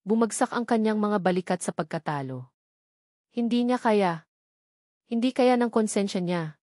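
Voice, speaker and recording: A woman's voice: medium pitch, lively intonation, normal speed, mellow, no echo, clean studio sound. A woman reading a scripted dramatic love story as its narrator.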